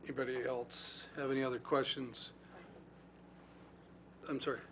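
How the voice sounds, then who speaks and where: A man on a city council, asking questions and taking the floor in a measured, polite way. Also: mid-range voice, level tone, a bit bright, a little hiss or hum. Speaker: council member chairing questions. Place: council meeting room.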